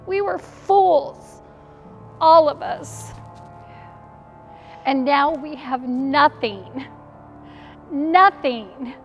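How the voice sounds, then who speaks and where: An actress playing a grieving, frightened woman disciple in a halting, anguished dramatic monologue, full of despair and bitterness. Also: high voice, muffled recording, soft background music, full character voice. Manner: halting, self-questioning, anguished.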